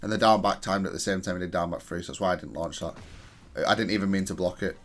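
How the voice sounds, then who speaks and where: A man's voice: low-pitched, fast talker, a bit bright. A man reviewing his own play in analytical gameplay commentary, speaking in a matter-of-fact, self-critical way. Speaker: gamer reviewing own match.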